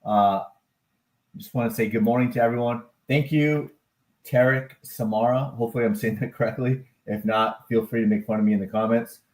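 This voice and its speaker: An upbeat man hosts a casual live stream on market charts, his pitch sitting in the middle of the usual male range. He talks in a loose, rambling way, full of fillers and quick self-corrections, and breaks into loud whooping cheers now and then. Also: steady tone. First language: English. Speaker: live stream host, crypto and stock chart analyst.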